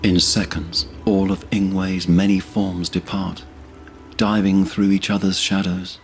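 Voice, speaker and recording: A man narrating a scripted fantasy drama in a measured, solemn, dramatic way. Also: deep voice, little pitch movement, gravelly. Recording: voice under loud music.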